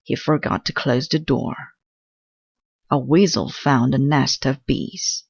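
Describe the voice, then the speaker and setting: Slow, low-voiced woman reading aloud. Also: vocal fry, breathy edge, dry recording, muffled recording. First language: Korean. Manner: slow, gentle, careful reading. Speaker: storybook reader. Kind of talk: reading a picture book aloud.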